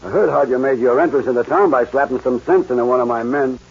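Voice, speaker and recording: An actor playing a ruthless, domineering land baron and gang boss in an old-time radio western, folksy and threatening. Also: male voice, mid-range voice, quick pace, breathy edge. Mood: smug, probing. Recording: muffled, noisy vintage radio recording.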